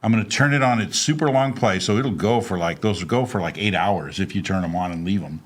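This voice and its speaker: A man talking as a guest, telling personal stories in a casual, animated, self-deprecating way with laughs. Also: low voice, a little fast. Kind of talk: casual conversational storytelling.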